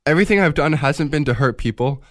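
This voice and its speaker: An actor playing a guilt-ridden, stubborn thief in a radio drama, agitated and defensive. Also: male voice, on the high side, animated, fast talker, a bit bright, no room sound, studio quality. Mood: anguished, defensive. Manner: agitated, defiant.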